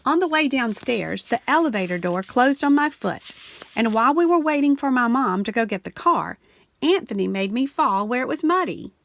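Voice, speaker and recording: A woman reading a children's picture book aloud in an animated storytelling way, slowing down and sinking low on the repeated refrain. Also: creaky, no echo, studio quality. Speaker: storybook reader.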